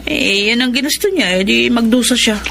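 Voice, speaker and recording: Isang babaeng gumaganap bilang anak na may hinanakit at pagod na sa kanyang ina, sa dramang panradyo. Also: female voice, fairly high pitch, normal speed, vocal fry, sharp tone, a little hiss or hum. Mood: bitter, sarcastic. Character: resentful, weary grown daughter, bitter about her mother. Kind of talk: Tagalog radio drama acting.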